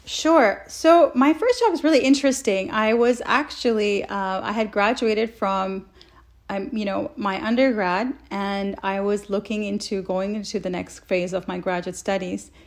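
A woman's voice: medium pitch, unhurried. A woman from Saudi Arabia giving earnest, wordy, enthusiastic answers as an interview guest in a conversational style. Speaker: interview guest, health researcher.

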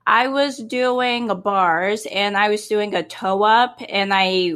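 Slow-talking woman podcast co-host. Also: mid-range voice, fairly even intonation, fairly bright tone. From Boston. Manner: laughs often, chatty. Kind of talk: casual chat.

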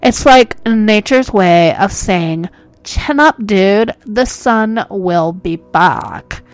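A woman's voice: mid-range voice, extremely slow, dry recording. A woman doing a drawling hipster druid dude. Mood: mellow. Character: laid-back male hipster druid.

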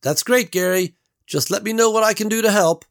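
A man's voice: a little high, expressive, moderate pace, pitched above the performer's own voice. A man doing an exasperated, patient woman.

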